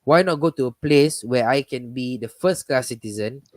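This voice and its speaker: Casual host, man, mid pitch, loose rambling delivery with a dry close sound. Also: moderate pace, no echo. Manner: rambling, many restarts and fillers. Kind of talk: casual live discussion.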